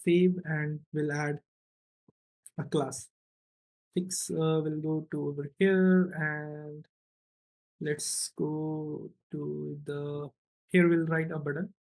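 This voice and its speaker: A man's voice: a little high, level tone, takes it slowly, long pauses, a little mellow, faint music behind, poor audio. A man narrating an informal step-by-step screencast as a programming instructor, often checking in with "right" or "okay".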